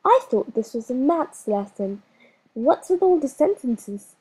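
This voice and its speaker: A chatty little schoolgirl who won't stop interrupting, put on by a woman reading a storybook. She drags her words out slowly with a breathy, husky edge, sitting a touch high in pitch and pushing each question with insistence. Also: expressive, a little mellow, heavily acted, rough recording. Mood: pestering.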